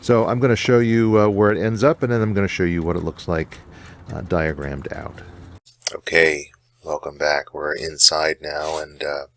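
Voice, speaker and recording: Very deep, gravelly man's voice. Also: fairly even intonation, a little hiss or hum. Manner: halting, hesitant delivery with frequent pauses and trailing phrases. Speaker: radio hobbyist host. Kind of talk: casual unscripted explainer.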